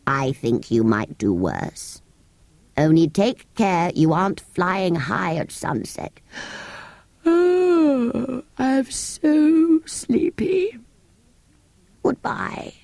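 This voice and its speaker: A woman voicing a grumpy, ancient, sleepy sand fairy in a storybook reading, speaking low and drowsily. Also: sing-song, drawn-out words, creaky, darkish tone, dry recording, exaggerated voice. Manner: cross, grumbling, indulgent. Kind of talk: audiobook character voice.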